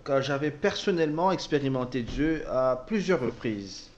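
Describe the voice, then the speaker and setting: A man interpreting a personal testimony, speaking haltingly with repeated words and self-corrections. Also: mid-range voice, expressive, darkish tone, lo-fi sound, a little hiss or hum. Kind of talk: spoken translation of a personal testimony.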